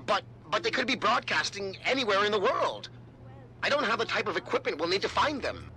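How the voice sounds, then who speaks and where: Casting: exasperated game tech ally, highish pitch, tense and sharp, reluctant. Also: male voice, sing-song, brisk, a little hiss or hum, heavily acted, poor audio. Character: harried, reluctant male tech expert and ally. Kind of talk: dramatic video game voice acting.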